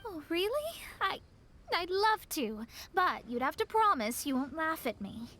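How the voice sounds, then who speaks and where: Casting: proud young astrologer, prim and easily flustered, very high animated voice, anime-style game. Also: female voice, a little slow, slight background noise, heavily acted.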